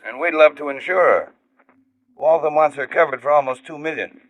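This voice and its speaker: A man's voice: on the high side, fairly quick. An American actor playing an easygoing, businesslike insurance man in a radio drama, matter-of-fact and conversational, through a telephone filter. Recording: dry, thin phone-like old radio sound.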